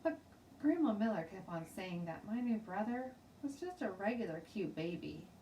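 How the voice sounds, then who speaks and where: A woman teacher narrating a children's storybook aloud in an expressive, dramatic way. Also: medium pitch, moderate pace. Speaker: teacher reading stories aloud. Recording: roomy, distant mic, faint background noise.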